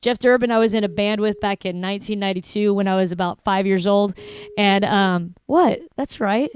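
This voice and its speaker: A woman's voice: mid-range voice, moderate pace, darkish tone, slight background noise. A woman in her forties with a Texan accent, hosting a live online broadcast in a chatty, joking way with many fillers. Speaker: host. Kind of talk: casual live broadcast chat.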